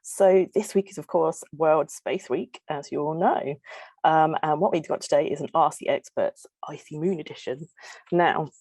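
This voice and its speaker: A woman hosting a live science webinar, speaking in a low, welcoming voice. The sound is dry and close, with no room around it. She explains things clearly and invites her audience in. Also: normal speed, a little mellow, dry recording. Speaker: host and postdoctoral researcher. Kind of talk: friendly live webinar presenting.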